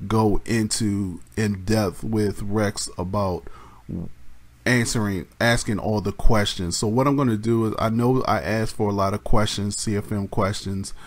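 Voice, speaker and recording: A man with a slightly raspy voice, casually explaining a game mode in a rambling way, with restarts and many ums. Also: fairly low pitch, fairly even intonation, unhurried. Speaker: gaming YouTuber.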